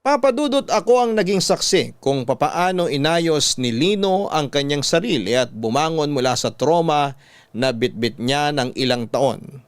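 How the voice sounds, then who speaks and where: A man with a Filipino accent reading a scripted first-person story in a measured, dramatic storytelling manner. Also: on the high side, lively intonation, clear, resonant tone, dry recording. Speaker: radio drama narrator.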